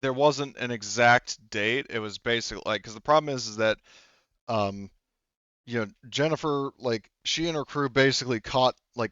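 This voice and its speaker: A man's voice: medium pitch, a bit bright, no echo, highs cut off. A man acting as game master, explaining tabletop game details in a hesitant, rambling way. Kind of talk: improvised tabletop game narration.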